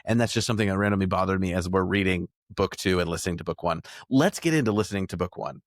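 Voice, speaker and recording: A man's voice: low voice, fast talker, no echo, clean studio sound. A man co-hosting a podcast, chatting casually about books and audiobooks. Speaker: podcast co-host. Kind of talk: casual chat discussing books.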